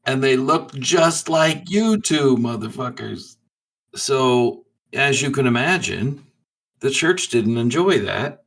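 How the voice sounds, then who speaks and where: A man roleplaying in a tabletop game, telling his story in a halting, laid-back, profane way. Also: medium pitch, animated, gravelly, fairly bright tone, rough recording. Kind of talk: casual in-character storytelling.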